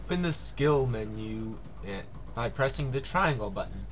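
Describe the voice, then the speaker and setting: A man reading video game tutorial text aloud as a host, a little haltingly. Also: medium pitch, expressive. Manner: halting reader, stumbles on words. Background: faint game music.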